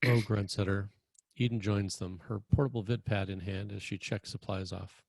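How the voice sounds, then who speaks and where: A man's voice: lowish voice, steady tone, moderate pace, vocal fry, dry recording. A man narrating screenplay stage directions in a scripted read.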